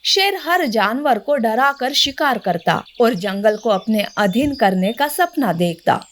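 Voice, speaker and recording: A woman's voice: fairly high pitch, animated, slow, slight background noise. A woman narrating a scripted children's story.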